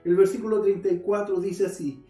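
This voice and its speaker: A man's voice: sits high, flat delivery, a little fast, dark tone, distant mic, soft background music. A male pastor preaching a sermon, earnestly addressing his listeners and reading scripture aloud. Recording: roomy echo.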